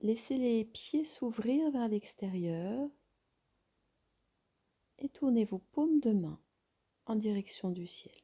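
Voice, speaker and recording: A woman yoga teacher guiding a relaxation meditation in a soft, soothing, breathy voice with long pauses. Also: fairly high pitch, animated.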